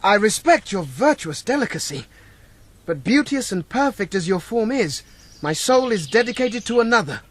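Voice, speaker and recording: A gallant young British knight in a gothic radio play. His voice is high and swoops with feeling, earnest and florid, over faint noise. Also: sing-song, normal speed, a bit bright. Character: ardent, chivalrous young male hero of gothic romance. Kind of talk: radio drama acting.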